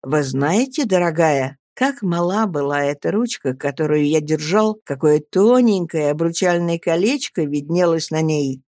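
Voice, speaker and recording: A woman voicing an earnest young husband who reasons gently and patiently, with grave affection, in an audiobook story. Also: sits low, expressive, measured pace, few pauses, no echo, clean studio sound. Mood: tender, serious. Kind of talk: dramatised audiobook reading.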